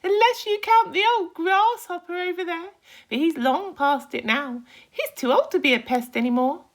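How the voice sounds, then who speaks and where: Woman putting on a squeaky, boastful centipede rascal. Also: normal speed. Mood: taunting, gleeful. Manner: crowing, cackling, exclamatory delivery. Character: boastful, mischievous male centipede, excitable rascal.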